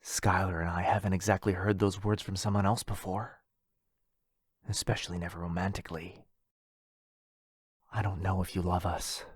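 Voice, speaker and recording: Man as a low, husky lovestruck vampire. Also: little pitch movement, moderate pace, long pauses, dry recording, clean studio sound. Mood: anxious pleading. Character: lovestruck, possessive, wealthy centuries-old male vampire brother. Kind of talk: boyfriend ASMR roleplay.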